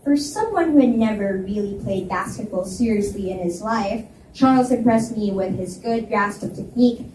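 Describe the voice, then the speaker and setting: A woman reads a story aloud in the first person, with a medium-pitched voice. She is some way from the mic in an echoey room, with faint noise. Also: normal speed, hardly any pauses, a little mellow, recorded from a distance. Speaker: reader narrating a story. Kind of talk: live first-person story narration with dialogue. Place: indoor reading event at a library.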